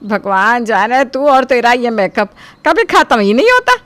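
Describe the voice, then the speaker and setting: A woman playing a sharp-tongued, nagging, sarcastic mother-in-law in a cartoon family drama. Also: on the high side, sing-song, measured pace, no room sound. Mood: irritated.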